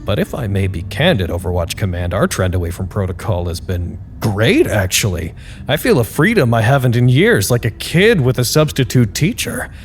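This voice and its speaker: A man's voice: fairly low pitch, very animated, moderate pace, soft background music. A man playing an earnest, candid site staffer in an audio drama, speaking a reflective and wryly formal log to unseen superiors. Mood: candid, hopeful.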